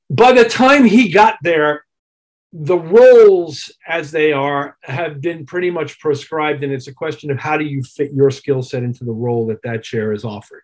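A middle-aged man with a husky voice answering interview questions as a musician, reflective and detailed in his explanations. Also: a little high, poor audio. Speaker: interview guest, touring keyboard player.

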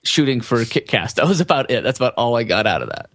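An upbeat young man co-hosts a casual podcast. His voice sits at an average pitch and is recorded very dry, with an informal, chatty delivery as he runs through items. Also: very animated, quick pace, fairly bright tone, no room sound. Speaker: podcast host. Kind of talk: casual podcast chat and news rundown.

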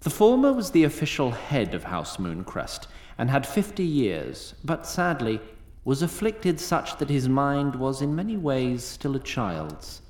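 Male narrator for scripted fantasy drama, animated intonation, echoey room. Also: mid-range voice, unhurried, few pauses.

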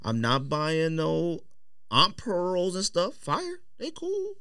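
A man's voice: high voice, huge pitch swings, slow, sharp tone, smooth, no echo. A middle-aged American man in slangy, casual conversation, opinionated and emphatic, as a podcast host in a studio. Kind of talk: casual chat about sneakers, slangy.

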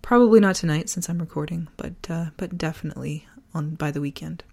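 A woman hosting a personal podcast, talking earnestly and deliberately, her voice partly gone from a sore throat. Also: low-pitched, vocal fry, a little mellow, breathy edge. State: losing voice from a sore throat virus. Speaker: podcast host. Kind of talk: personal podcast, reflective essay and casual chat.

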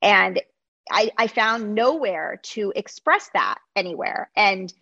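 A woman's voice: mid-range voice, expressive, slow, vocal fry, pushed and bright, dry recording, highs cut off. A woman host, a native Greek speaker from the United States, talking in a rambling, conversational way with many restarts. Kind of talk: conversational interview.

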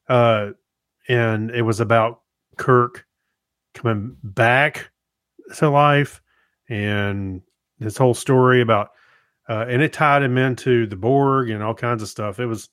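Male co-host, informal chat, average pitch, tight dry studio-like sound. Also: fairly bright tone, dry recording. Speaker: podcast co-host. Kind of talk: casual conversational chat.

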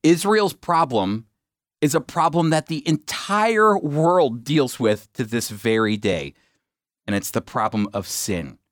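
A man's voice: mid-range voice, lively intonation, takes it slowly, dry recording, clean studio sound. A man teaching the Bible on a radio program, emphatic, with rhetorical asides to his listeners.

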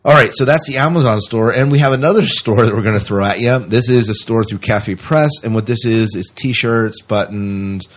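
A man's voice: on the low side, moderate pace, few pauses. A man hosting a podcast in a casual, talkative, explanatory way, chatting with a co-host. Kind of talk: casual co-hosted podcast chat. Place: small closed room.

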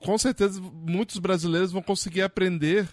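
Brazilian man, host, chatty and laughing, slightly raised pitch, dry close sound. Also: steady tone, brisk, no echo. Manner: friendly, laughs easily, stumbles and restarts. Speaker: podcast host. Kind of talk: casual chat.

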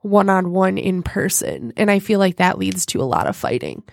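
Woman, congested voice, highly varied intonation, joking casual co-host, close dry recording. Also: huge pitch swings, normal speed, creaky, no room sound. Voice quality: stuffed-up, congested. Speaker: radio or podcast host.

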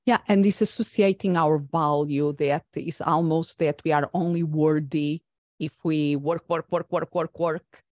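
A woman from Portugal with a Portuguese accent, hosting a conversational interview in a reflective, halting way. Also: low-pitched, steady tone, a little mellow, no echo, studio quality. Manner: reflective, halting with filler words. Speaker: podcast host.

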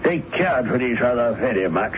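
An actor playing a nervous, loyal household servant in a radio drama, speaking with hesitant, apologetic, formal politeness. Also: male voice, mid-range voice, expressive, normal speed, natural voice. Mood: nervous, deferential. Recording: muffled old radio broadcast, faint noise.

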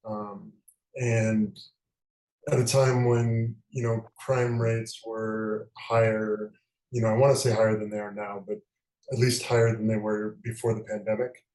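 A man from the American Midwest, a novelist, answering interview questions thoughtfully, with many fillers and pauses. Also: on the low side, flat delivery, a little mellow, reverberant, low-quality recording. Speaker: guest novelist.

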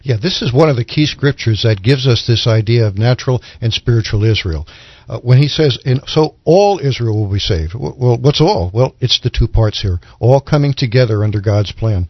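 A man speaking as a guest Bible commentator, explaining scripture off the cuff in a measured, point-by-point way. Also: mid-range voice, expressive, no echo, highs cut off.

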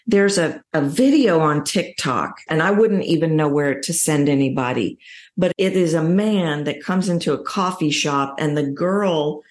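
A woman's voice: low voice, a little slow. A middle-aged woman with a Texan accent talking emphatically in a conversational interview as a voice coach. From Texas, United States. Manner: emphatic, illustrates points with acted-out quotes. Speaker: voice coach, podcast guest.

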